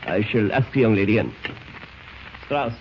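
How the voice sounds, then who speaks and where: An actor playing a suave, menacing foreign spy ring leader in an old radio drama, with courtly, deliberate, formal phrasing. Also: male voice, lowish voice, animated. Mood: smooth, commanding. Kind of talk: 1940s radio drama acting. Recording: dull, noisy old radio recording.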